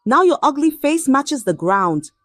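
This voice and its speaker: A woman's voice: high voice, sing-song, a little slow, no echo, faint music behind, voice pushed up. A woman voicing a cruel, mocking rich schoolboy bully in a story. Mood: mocking taunts. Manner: mocking, taunting.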